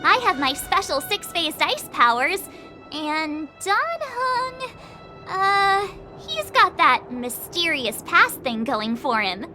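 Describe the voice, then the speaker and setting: A woman's voice: extremely high pitch, very animated, slow pace, sharp tone, exaggerated voice. A woman playing a perky, cocky teenage girl adventurer in a video game, breezy and sassy. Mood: confident, breezy. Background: music.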